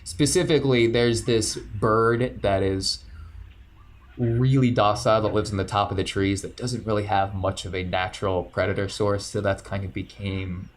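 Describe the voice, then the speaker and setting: A man's voice: on the low side, steady tone, moderate pace. A man narrating a tabletop role-playing game as game master, loose and joking, describing scenes with plenty of fillers.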